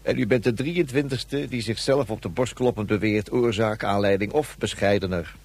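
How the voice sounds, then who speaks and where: An actor playing a weary, sardonic police inspector in a radio drama, dry and officious, with a husky voice. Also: male voice, lowish voice, normal speed, darkish tone. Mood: weary sarcasm.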